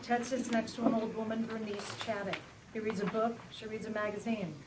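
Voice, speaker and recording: A woman's voice: fairly high pitch, flat delivery, brisk, distant mic, a loud crowd. A woman reading out scripted stage directions as narrator at a live table read. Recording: noisy room, off the mic.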